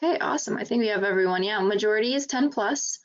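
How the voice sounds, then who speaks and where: A woman's voice: medium pitch, fast. A woman from a marketing team opening a live webinar, upbeat and casual with many fillers. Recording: dull lo-fi web audio.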